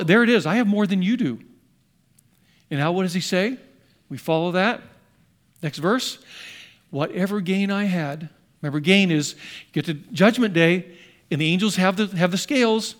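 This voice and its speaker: A man preaching a sermon as pastor, animatedly voicing the apostle in first person with rising emphasis. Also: sits high.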